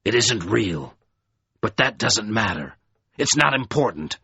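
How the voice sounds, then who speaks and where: A man's voice: fairly low pitch, big pitch swings, unhurried, creaky, breathy edge, no room sound, muffled recording, voice pushed down, exaggerated voice. A man doing a weary, defiant hero. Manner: quiet, tired, stubborn replies. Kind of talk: audiobook character voice.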